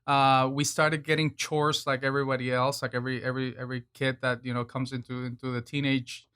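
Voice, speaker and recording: A man in his thirties from Venezuela, a guest chef chatting casually in an interview, relaxed and laughing often between fillers. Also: medium pitch, brisk, clear, resonant tone.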